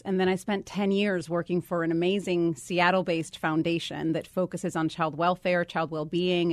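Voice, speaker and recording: Low-voiced woman from Puerto Rico. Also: no room sound. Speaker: interview guest, government office director. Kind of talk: conversational interview answers.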